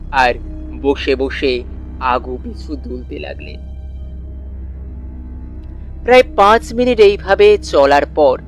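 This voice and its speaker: A man narrating a literary suspense story in a measured way, with deliberate pauses. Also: medium pitch. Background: soft music.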